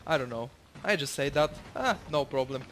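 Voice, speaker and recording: A man's voice: mid-range voice, expressive, moderate pace, a bit bright, slight background noise. A young man from Bulgaria, with a Bulgarian accent and Bulgarian as his first language, casually commentating his own match, rambling, swearing freely and correcting himself. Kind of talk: casual commentary over gameplay.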